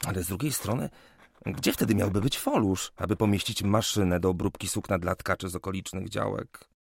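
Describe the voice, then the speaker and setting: A man's voice: deep voice, fairly quick, vocal fry, noisy background. A man playing a shy, polite young land surveyor in a radio play, modest and hesitant in manner. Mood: pensive.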